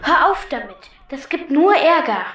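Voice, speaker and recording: A woman's voice: sits high, very animated, normal speed, background noise. A woman playing a matter-of-fact, resigned captive girl from a child gang in a radio play, dry and blunt. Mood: urging. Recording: roomy echo.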